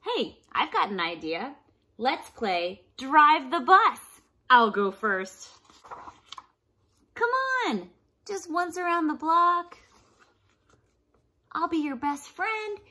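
Picture a pleading, tantrum-prone storybook pigeon acted out by a woman reading aloud. The voice is high and bright, whiny and dramatic, and it leaps around in pitch as it wheedles. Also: big pitch swings, takes it slowly, pauses often, full character voice. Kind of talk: children's storybook read-aloud.

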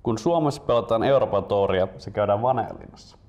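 A man's voice: fairly low pitch, a little mellow, lo-fi sound. A man in his twenties hosting, moving between presenter-style announcements and casual chat. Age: 20s. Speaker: host.

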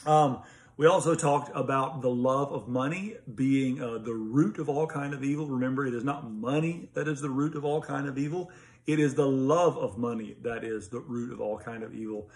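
Male pastor, thirties, American accent, mid-range voice. Earnest, teacherly, informal to-camera sermon recap with some halting pauses. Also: medium pitch. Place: small home study with bookshelves, indoors. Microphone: phone or laptop at arm's length.

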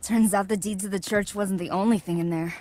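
A woman's voice: medium pitch, fairly even intonation, fairly quick, darkish tone, close to an everyday voice. A woman voicing a wry, teasing young crew member in a video game, with a casual manner. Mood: upbeat.

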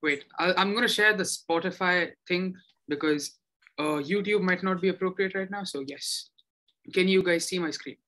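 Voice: mid-range voice, normal speed, a bit bright. Chatty, casual video-call speaker. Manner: chatty, loose and informal.

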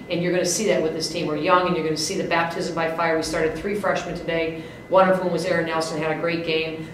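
A woman in her fifties, a basketball coach at a postgame press conference, speaking in an upbeat, emphatic way with many restarts and fillers. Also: on the low side. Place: press room, indoors. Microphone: desk mic on stand. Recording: echoey room, mic at a distance, faint hiss.

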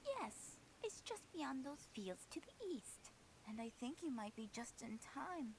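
A woman voicing a gentle, concerned bear villager in an animated video game. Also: high voice, slow, heavily acted, rough recording. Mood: friendly. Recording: busy game sounds behind.

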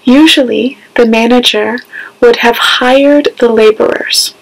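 A woman's voice: on the high side, poor audio. A woman, reading a scripted scripture lesson with careful phrasing. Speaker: scripture reader. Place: small home room, indoors.

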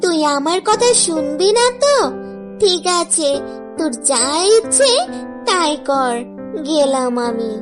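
A suspicious, scolding mother fox from a children's cartoon, voiced by a woman. She is very high-pitched and measured, giving emphatic warnings over clearly audible music. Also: expressive.

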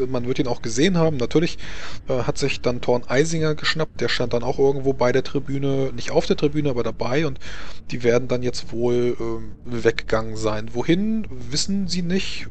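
A male game master with a medium-pitched voice narrates a tabletop role-playing session. He feels his way through the scene haltingly, thinking aloud as he describes it. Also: darkish tone.

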